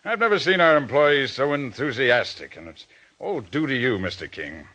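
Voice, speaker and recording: An actor playing a genial, eager company boss in a 1940s-style radio drama, jovial and fawning, at times flustered and hesitant. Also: male voice, medium pitch, big pitch swings, moderate pace, pushed and bright. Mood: jovial flattery. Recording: muffled old radio drama recording.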